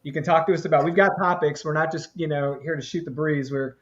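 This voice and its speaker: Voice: medium pitch, steady tone, quick pace, a little hiss or hum. Rambling host on a dull, lo-fi line. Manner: rambling, filler-heavy storytelling. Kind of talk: casual live-stream interview chat. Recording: slightly dull lo-fi audio.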